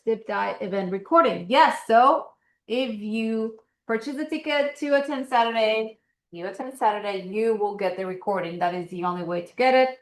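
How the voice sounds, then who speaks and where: A woman with a Colombian accent runs through announcements for her members, chatty and upbeat and full of little ums and uhs. Her voice sits at an ordinary middle pitch for a woman. The sound has a roomy echo around it. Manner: casual, filler-heavy, upbeat promoting. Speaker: investor association host. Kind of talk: announcements at an online meeting.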